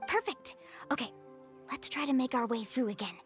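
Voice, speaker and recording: A woman's voice: high-pitched, lively intonation, moderate pace. A woman voicing a cheerful young woman who guides her group and takes the lead. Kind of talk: game voice acting. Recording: game audio with faint music.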